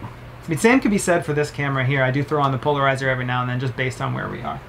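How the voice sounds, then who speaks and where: A man's voice: mid-range voice, very fast, vocal fry, a bit bright, slight background noise. Upbeat man chatting through a gear review. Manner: chatty, upbeat, explains while handling gear. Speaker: vlogger reviewing camera gear. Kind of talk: casual gear review to camera. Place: cabin of a sailboat, indoors.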